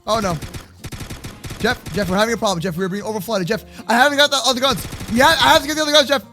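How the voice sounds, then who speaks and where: A man hosting an excited, improvised Minecraft roleplay for kids, exclaiming and repeating himself in slangy chatter. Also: very high voice, fast talker, faint music behind. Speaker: host of a gaming roleplay video.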